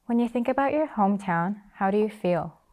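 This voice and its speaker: A teenage girl working as a student interviewer, politely reading prepared questions in a measured way. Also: medium pitch, expressive, darkish tone. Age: teens.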